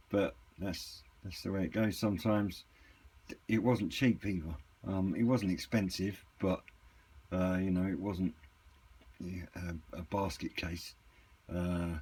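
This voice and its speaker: Low-pitched, husky man talking casually. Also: a little mellow. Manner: hesitant, rambling, matter-of-fact. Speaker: hobbyist reviewer and seller of used CB radios. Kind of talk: casual unscripted product walkthrough.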